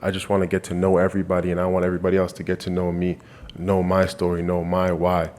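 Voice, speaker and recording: A man's voice: sits low, monotone, fast talker, darkish tone. A young man from Canada answering press questions earnestly, often restarting his phrases. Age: 20s. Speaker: college football player. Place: media day press room.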